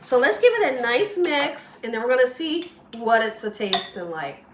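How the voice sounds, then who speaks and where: A woman hosting a casual home cooking tutorial, slightly hoarse as she loses her voice, chatty and encouraging toward viewers. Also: a little high, very animated, normal speed, echoey room, distant mic, background noise. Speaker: cooking show host. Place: home kitchen.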